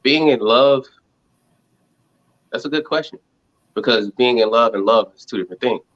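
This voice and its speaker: A man talks in a dull, lo-fi recording. His pitch is middling, and he gives casual, reflective interview answers, often halting as he thinks aloud. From Montgomery, Alabama, United States. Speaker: reality show contestant interviewed as guest.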